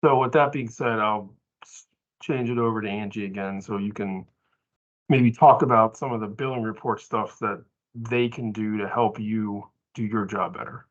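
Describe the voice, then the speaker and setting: A man's voice: on the low side, steady tone, normal speed. A man presenting informally at a staff training, explaining in short, broken phrases over muffled meeting audio.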